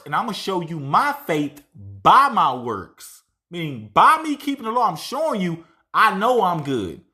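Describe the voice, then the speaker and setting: High-voiced man preaching emphatically. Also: pushed and bright. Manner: emphatic, tags lines with "right?"; reads verses aloud slowly. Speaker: Bible teacher. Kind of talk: informal scripture teaching.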